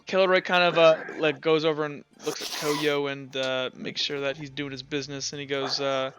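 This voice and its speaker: A man narrating a casual improvised tabletop roleplay as game master, with halting, stammering restarts. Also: a little high, little pitch movement, a bit bright, faint music behind, low-quality recording.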